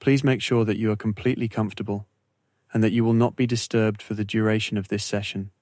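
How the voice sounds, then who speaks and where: A man narrating a scripted guided meditation in a slow, measured, soothing way with long pauses. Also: fairly low pitch, flat delivery, a little mellow, dry recording.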